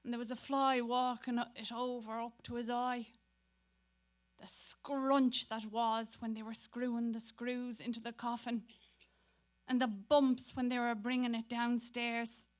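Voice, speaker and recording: A woman's voice: fairly high pitch, level tone, unhurried, lightly acted. An Irish woman playing a grumbling, daydreaming Dublin schoolboy in a dramatic reading, talking in slangy, colloquial monologue. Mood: wondering, subdued.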